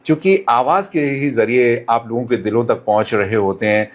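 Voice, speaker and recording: Male radio presenter as interview guest: mid-pitched, measured advice, polite formal delivery, some English mixed in. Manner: deliberate, courteous, formal phrasing with English words mixed in.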